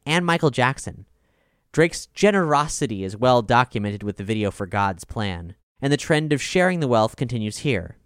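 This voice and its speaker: Smooth-voiced man reading a scripted review. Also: medium pitch, no echo, studio quality. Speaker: reader of album reviews. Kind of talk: scripted narration of a music review.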